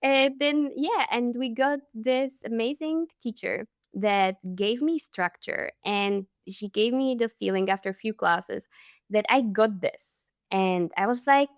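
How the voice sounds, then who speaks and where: A woman's voice: on the high side, unhurried, dry recording, studio quality. A young woman from the Czech Republic, a language coach, telling her story in a friendly, casual interview tone.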